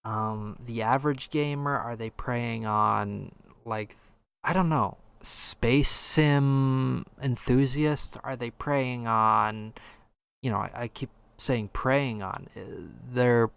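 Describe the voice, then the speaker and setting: A man's voice: medium pitch, takes it slowly, creaky, fairly bright tone, clean tone, no room sound. A man hosting a casual podcast discussion, posing questions and often searching for his words. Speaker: podcast host asking questions.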